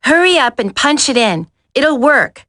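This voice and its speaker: An actress playing a feisty, confident, sharp-tongued female soldier in a dramatic video game. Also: high voice, sing-song, measured pace, no room sound, studio quality, full character voice. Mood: urgent.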